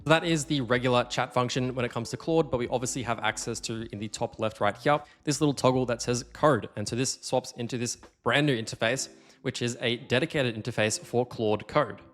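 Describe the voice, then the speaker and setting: Man giving a casual software tutorial. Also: mid-range voice, brisk. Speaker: tutorial host.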